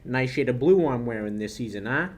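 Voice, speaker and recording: Young man acting a sardonic tough guy, smug, very animated pitch, old-time radio crime drama. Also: a little fast, lightly acted. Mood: mocking. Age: young adult. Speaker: high school actor.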